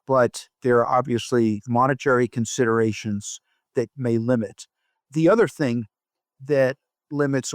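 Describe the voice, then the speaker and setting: A man speaking as a physician researcher in an interview, explaining study findings in a measured, deliberate way with halting phrasing. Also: medium pitch, no room sound, clean studio sound.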